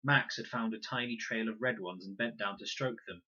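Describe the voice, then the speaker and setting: A man reading a children's novel aloud as expressive, deliberate scripted narration. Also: fairly low pitch, breathy edge, highs cut off. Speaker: story reader, teacher.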